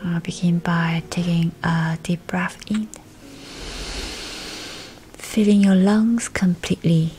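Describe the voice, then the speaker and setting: Slow, low-pitched woman guiding meditation. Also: flat delivery, a little mellow. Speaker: guided meditation narrator. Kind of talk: scripted guided meditation. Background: music.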